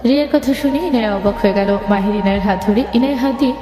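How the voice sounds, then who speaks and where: Casting: female story narrator, medium pitch, reverberant sound with clear music underneath. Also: fast talker.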